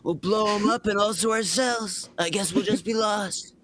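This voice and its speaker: Male co-host, high-pitched for a man, loose joking banter between script readings. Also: steady tone, normal speed, fairly bright tone. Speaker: co-host and voice performer on a fanfiction stream.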